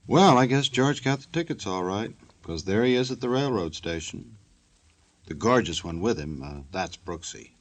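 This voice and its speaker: An actor playing a wry, joking storyteller who narrates a 1950s radio drama in a conversational way. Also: male voice, fairly low pitch, animated, normal speed, close to an everyday voice.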